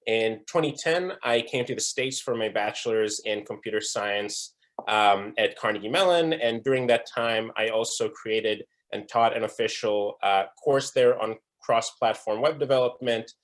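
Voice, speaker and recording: A man's voice: on the low side, moderate pace. A young man from Israel giving a presentation at an online breakout session, earnest, with frequent fillers and restarts. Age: young adult. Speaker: conference speaker. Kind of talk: presentation talk.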